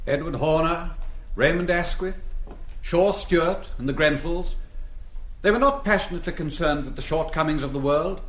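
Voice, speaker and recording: An actor plays a refined chronicler remembering gilded young men with elegiac formality. The voice sits fairly high and carries clear room echo, measured like narration in a stage play. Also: male voice, fairly bright tone, lightly acted. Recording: roomy, echoing drama recording.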